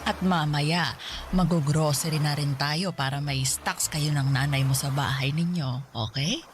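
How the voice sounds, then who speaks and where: A woman's voice: low voice, measured pace, noisy background. An actress playing a doting, indulgent girlfriend in a Tagalog radio drama, babying her lover with soothing, cooing talk. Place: studio recording with music behind.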